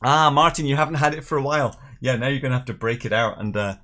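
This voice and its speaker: A middle-aged man hosts a casual livestream. He talks in an easygoing, chatty way with a medium-pitched voice, moving between viewer comments and light jokes. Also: steady tone, fast, bright, forceful tone. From Northern Ireland and England. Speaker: host of a live whisky tasting show.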